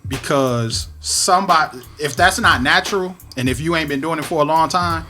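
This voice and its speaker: A man's voice: on the high side, moderate pace, pushed and bright. A man hosting a podcast, from Detroit, talking shop about music production in a casual, slangy, analytical way. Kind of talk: casual conversational interview.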